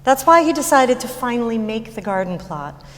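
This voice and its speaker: Woman doing a worried gentle mom in a stage play, coaxing and hesitant, highish voice, big echoey hall. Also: very animated. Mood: gentle, careful. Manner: halting, tentative, coaxing. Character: gentle, anxious mother coaxing her grieving daughter. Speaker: actor in staged reading of musical. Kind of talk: live stage reading acting. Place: high school stage. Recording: echoey hall, long reverb.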